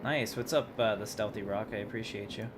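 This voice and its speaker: A man with a fairly low voice gives casual commentary on a game livestream. He talks informally to his viewers while he plays. There is clearly audible noise behind him. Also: a little fast.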